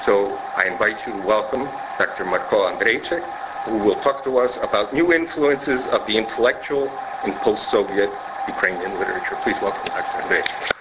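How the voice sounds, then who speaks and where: A man's voice: on the low side, lively intonation. Man giving a formal lecture introduction. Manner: measured and formal, with pauses. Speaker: professor introducing a speaker. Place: lecture room with wood panelling. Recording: dull lo-fi lecture recording with background noise.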